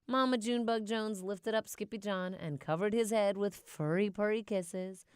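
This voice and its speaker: A woman narrating a children's audiobook in an expressive storybook style. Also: mid-range voice, normal speed, clean tone, dry recording, clean studio sound.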